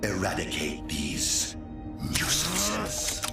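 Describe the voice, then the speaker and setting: An actor playing a cold, imperious alien ruler in an animated game, grandiose and menacing. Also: male voice, low-pitched, extremely slow, gravelly, exaggerated voice. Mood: cold menace. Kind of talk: animated game villain acting. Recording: cavernous echo, distant, loud music.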